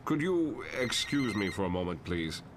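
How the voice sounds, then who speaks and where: A man playing a composed older professor in a motion comic, speaking in a measured, formal, polite manner. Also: fairly low pitch, very animated, background noise. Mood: concerned. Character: composed, courteous older male professor and mentor.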